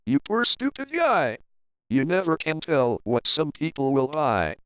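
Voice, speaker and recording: A man's voice: a little high, wildly swooping pitch, a little slow, lo-fi sound. A man playing a secretive old hermit storyteller, greedy and defensive, reciting dramatically in rhyme. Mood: mocking, brusque.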